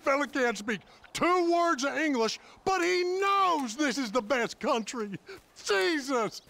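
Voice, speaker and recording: A dim, self-appointed American border militiaman for a video game. He blusters pompously in a high voice that swings widely, taking his time, over faint background noise. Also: animated, takes it slowly, creaky, pushed and bright, exaggerated voice. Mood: urgent.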